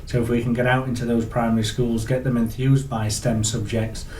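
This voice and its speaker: A Yorkshire man speaks in even, measured sentences, his delivery flat and unhurried. He sits some way from the mic in an echoey room, and his voice sounds mellow and dark. A faint ambient hiss sits beneath him. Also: medium pitch, far from the mic. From Yorkshire, England. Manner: measured, steady, with frequent ums. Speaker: guest, industry alliance CEO. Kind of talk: interview answers. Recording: echoey room, heard from afar.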